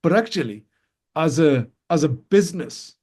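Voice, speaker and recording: A man hosts a live business show from a radio studio. His voice is mellow and warm, and he speaks in an animated way. He often restarts his sentences and throws quick questions to his guests. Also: a little high, slightly rough.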